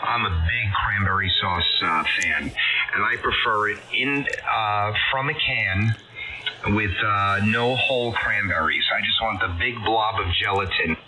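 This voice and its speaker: A man's voice: lowish voice, a little slow. A man chatting casually with a group over amateur radio. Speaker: amateur radio net participant. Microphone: radio transmission. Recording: dull band-limited radio signal, clear hiss.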